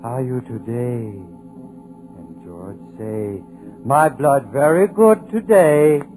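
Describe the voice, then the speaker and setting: A man's voice: mid-range voice, slow pace, a little mellow, close to an everyday voice, rough recording. A man playing a simple-minded male killer in an old radio drama, talking in broken, droning repetitions. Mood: menacing. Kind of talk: 1940s radio drama acting. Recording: old radio recording with music behind.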